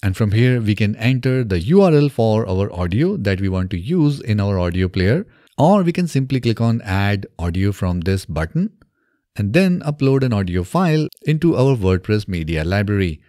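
A man in his thirties presenting a software tutorial step by step in a methodical way, recorded close on a desk microphone in a small home studio. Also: fairly low pitch, very animated, moderate pace, no room sound. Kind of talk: scripted software tutorial narration. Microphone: close desk microphone on boom arm.

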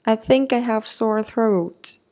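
Very slow, careful female drill voice. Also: fairly high pitch, dark tone. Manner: careful, splits phrases into chunks then repeats them whole. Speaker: English teacher. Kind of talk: slow repeated phrase drill for learners.

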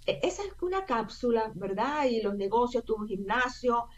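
A woman's voice: fairly high pitch, expressive, normal speed. An older woman from Puerto Rico with a Puerto Rican Spanish accent, an actress and singer chatting as a guest, telling her story with lots of fillers. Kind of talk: casual interview talk. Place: home room via video call. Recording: dull lo-fi video call audio.

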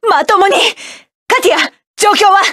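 Proud hardened mech pilot woman, high voice, clipped barks, dry studio sound. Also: moderate pace, no echo. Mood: battle-ready. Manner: sharp, self-assured, clipped combat barks. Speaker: game character. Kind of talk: game battle voice lines.

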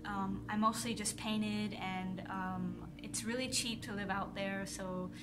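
A woman's voice: medium pitch, fairly even intonation, slow. A woman in her thirties, born in Singapore, rambling casually about her life in her first vlog, with many fillers and restarts, recorded on a phone with earphone mic. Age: 30s. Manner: hesitant, many fillers and restarts, soft-spoken. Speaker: artist vlogger. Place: indoors in front of a pink backdrop. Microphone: earphone mic, phone camera close up.

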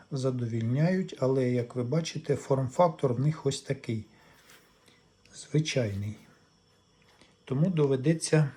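A man's voice: mid-range voice, dark tone. A man talks viewers through a hands-on electronics repair, casually explaining each step without a script. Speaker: electronics repair hobbyist presenting his own video.

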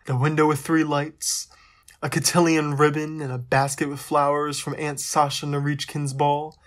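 A man's voice: a little high, little pitch movement, normal speed, close to an everyday voice. An actor playing an affectionate, lighthearted young Russian crown prince, reading his letters aloud in a playful, formal way. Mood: fond, playful. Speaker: actor in an audio drama. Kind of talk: dramatic reading of personal letters.

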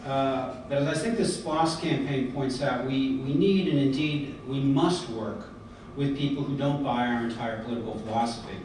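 A middle-aged American man giving an earnest prepared speech at a podium, often pausing on fillers and restarts. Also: medium pitch, darkish tone. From United States. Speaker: convention speaker. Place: large hotel conference hall, indoors. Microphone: podium mic, filmed by a far camera. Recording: echoey hall from far off, background noise.

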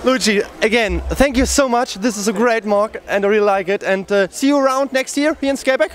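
A man's voice: sits high, brisk, some chatter behind. A young man, hosting a casual on-camera interview through a handheld microphone. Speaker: interviewer, host. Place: large busy exhibition sports hall.

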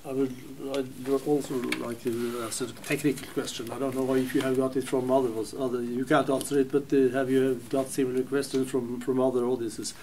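Guy in the audience, flat monotone, keeps stumbling over his words, faint noise. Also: medium pitch, a little fast, few pauses, poor audio. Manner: hesitant, restarts often. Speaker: audience member asking a question. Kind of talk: halting question from the audience.

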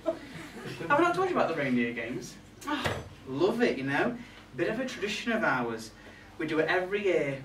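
A man's voice: a little high, wildly swooping pitch, moderate pace, distant mic. A young man playing a catty, resentful reindeer in a comic monologue, indignant and gossipy, with arch asides to a live audience. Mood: chatty, arch. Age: young adult. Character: catty, resentful male reindeer grumbling about Santa's crew. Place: small pub room with a live audience. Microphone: far camera. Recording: echoey room from afar, background noise.

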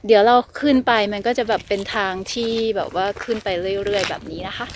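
A woman's voice: mid-range voice, fast talker, slight background noise. Chatty woman on a trail. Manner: chatty, polite, many filler sounds. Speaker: vlogger. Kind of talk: casual travel vlog narration. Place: outdoors on a mountain trail. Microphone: phone camera held at arm's length.

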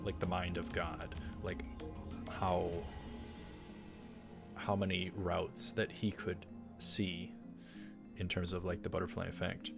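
A man's voice: low voice, little pitch movement, takes it slowly, vocal fry, long pauses. A young man hosting a let's play, reading visual novel text aloud and adding casual commentary. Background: game music.

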